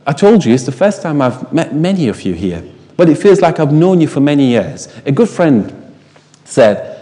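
Lively preacher man with Farsi roots. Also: fairly high pitch, in a large hall. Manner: animated, emphatic with rhetorical questions. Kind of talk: sermon.

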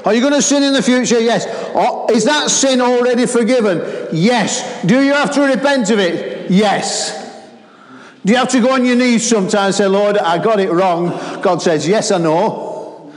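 A middle-aged man with a Lancashire accent preaching a sermon, animated and calling out to the congregation. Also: sits high, moderate pace. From Lancashire, England. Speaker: guest preacher. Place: church hall. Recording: roomy hall with long echo.

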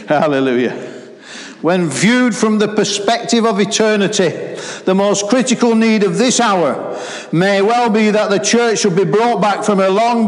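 A middle-aged man with a Lancashire accent preaching a sermon, animated and calling out to the congregation. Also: sits high. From Lancashire, England. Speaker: guest preacher. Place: church hall. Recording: roomy hall with long echo.

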